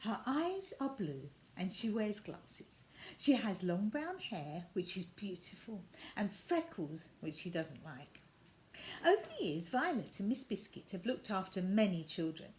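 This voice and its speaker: A woman narrates a story for children in an expressive storybook style. Her mid-range voice rises and falls widely. Faint noise and ambience can be heard behind her. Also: mid-range voice, unhurried, rough recording. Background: added sound effects and faint noise.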